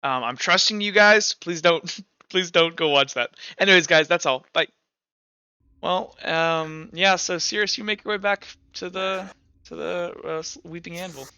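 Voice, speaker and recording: Male game master, forceful and clear, close dry sound, improvises scenes with halting delivery. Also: sits high, no echo, highs cut off. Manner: halting, searching for words. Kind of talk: improvised narration of a tabletop roleplaying game.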